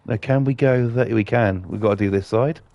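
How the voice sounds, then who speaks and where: Gravelly man, blunt and sweary. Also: lowish voice, a little fast, darkish tone, no room sound, muffled recording. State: croaky voice from a cold. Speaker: gaming channel host. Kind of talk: casual gameplay commentary with rants.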